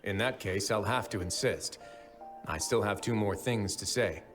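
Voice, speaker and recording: A man voicing a composed, shrewd, gentlemanly fortress overseer in a video game, articulate and deliberate. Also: fairly low pitch, music in the background, lightly acted. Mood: gently persuasive.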